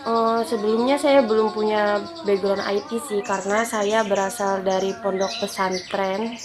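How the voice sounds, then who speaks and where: A woman's voice: medium pitch, steady tone, measured pace. Young woman student, plain delivery, mid voice. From Cirebon, West Java. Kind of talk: testimonial interview.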